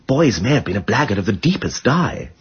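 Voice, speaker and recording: Husky, lively aristocratic gentleman sleuth. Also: on the low side, fairly quick, muffled recording, full character voice. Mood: musing, breezy. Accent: upper-class English. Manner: flippant period slang, voluble and emphatic. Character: witty, excitable aristocratic English gentleman amateur detective. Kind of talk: radio drama acting.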